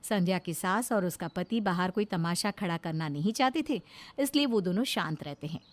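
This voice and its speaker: A woman's voice: on the low side, lively intonation, normal speed. Lady narrator telling a story, reading from a script.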